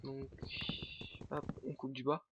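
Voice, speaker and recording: A man's voice: medium pitch, little pitch movement, slow, very noisy. Rambling stuffy-nosed man gaming. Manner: hesitant, filler-heavy rambling. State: stuffy nose from a cold. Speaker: let's play host. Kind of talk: casual gameplay commentary.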